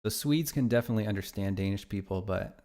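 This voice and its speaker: A man with a low, gravelly voice chats casually on a live stream as he works on his game. He thinks out loud and answers viewer messages as they come in. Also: fast talker. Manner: thinks aloud and reads viewer chat aloud. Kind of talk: casual livestream chat.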